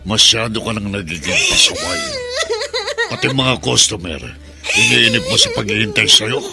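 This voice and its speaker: An actor playing a cruel, menacing pimp in a Filipino radio drama, threatening and sneering. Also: male voice, medium pitch, huge pitch swings, normal speed.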